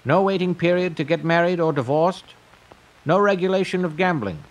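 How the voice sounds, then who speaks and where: Man acting grandiose tycoon, higher-pitched voice. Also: moderate pace, close to an everyday voice, rough recording. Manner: grand, declamatory sales pitch. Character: grandiose eccentric male tycoon announcing a pleasure empire. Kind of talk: dramatized monologue in audio storytelling.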